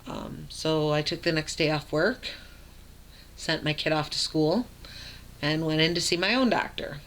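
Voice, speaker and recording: A young adult woman with a raspy low voice, telling her own story in a casual vlog, plain and matter-of-fact with many ums and uhs. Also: measured pace, fairly bright tone. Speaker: vlogger.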